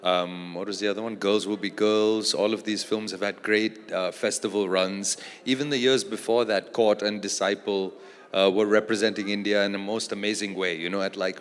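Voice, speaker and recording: A man, an actor and producer from Australia, speaking as a panel guest in a relaxed, thoughtful way with many fillers. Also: fairly low pitch. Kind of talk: casual panel conversation. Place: large event hall on stage. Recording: long reverb of a large hall.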